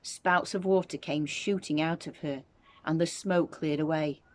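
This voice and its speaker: A woman reading a children's story aloud as a teacher would, with expressive narration. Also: low voice, measured pace, dry recording.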